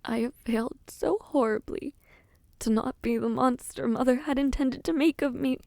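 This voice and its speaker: Woman playing young fantasy princess, high-pitched, dry close sound, anguished, self-loathing, halting formal delivery, audio roleplay. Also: creaky, dark tone, no room sound. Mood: regretful, distraught. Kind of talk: dramatic audio roleplay acting.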